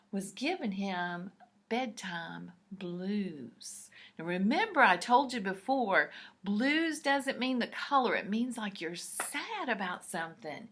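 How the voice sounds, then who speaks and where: A woman reading a picture book aloud to young children in an expressive, deliberate, explanatory way. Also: on the high side.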